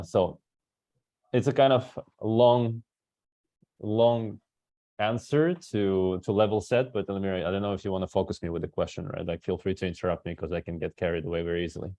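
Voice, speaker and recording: A man's voice: sits low, darkish tone, no room sound. A man from Kazakhstan, a native Russian speaker in his thirties, talking at length as a webinar guest and often tagging "right?". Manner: talkative, tags many sentences with "right?". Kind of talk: webinar talk.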